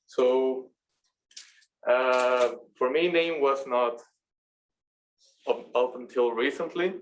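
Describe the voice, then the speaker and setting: Man, halting class talk. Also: mid-range voice, low-quality recording. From Venezuela. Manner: halting, searching for words. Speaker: master's student presenter. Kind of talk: class presentation.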